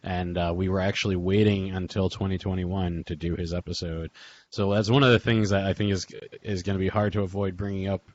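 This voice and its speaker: Low-voiced man chatting informally, dull lo-fi sound. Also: flat delivery, a little fast. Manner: informal, rambling, chuckles between phrases. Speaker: host of a memorial group call. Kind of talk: casual reminiscing group chat. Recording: dull lo-fi online call audio.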